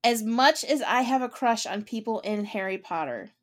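A woman co-hosting a podcast in casual chat, talking with eager enthusiasm and frequent quick restarts. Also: on the high side, expressive. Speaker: podcast co-host.

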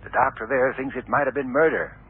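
An actor playing a brisk, urgent male caller on the telephone in an old radio drama. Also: medium pitch, narrow, tinny audio. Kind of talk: 1970s radio drama acting.

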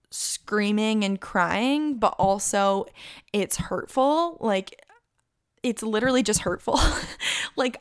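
A woman's voice: medium pitch, clean tone, dry recording, studio quality. A woman in her twenties hosting a casual solo podcast, chatty and candid, leaning on "like" and "literally" and laughing often. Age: 20s. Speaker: podcast host.

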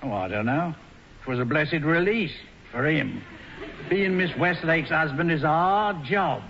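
An actor playing a long-suffering working-class manager, dryly droll and deferential, in a 1940s radio comedy. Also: male voice, fairly high pitch, animated, unhurried, highs cut off. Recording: noisy, band-limited 1940s radio recording.

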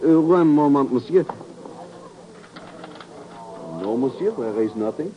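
A man's voice: on the high side, animated, brisk, slight background noise, natural voice. A man plays a deferential hotel clerk. He is formal and confidential in an old radio drama style. The recording is muffled and dated. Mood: confiding. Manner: formal, obliging, gossipy. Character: polite, deferential French-speaking hotel clerk. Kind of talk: 1950s radio acting.

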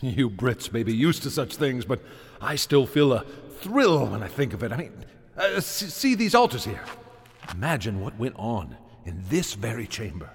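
An actor playing an excitable, haunted older American gentleman in a radio horror drama, speaking in a halting, stammering, agitated way. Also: medium pitch, breathy edge. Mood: uneasy, intrigued. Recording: echoey vault reverb, music behind.

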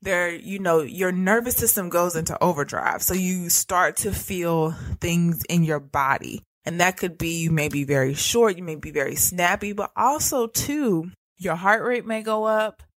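A woman's voice: fairly low pitch, a little slow, no room sound. Earnest woman teaching about anxiety. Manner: earnest, repetitive phrasing, direct address. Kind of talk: teaching monologue.

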